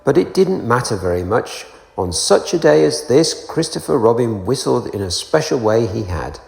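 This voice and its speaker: A man reading a children's storybook aloud in an expressive narrating style. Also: medium pitch, normal speed, vocal fry. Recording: roomy echo, long reverb, faint music.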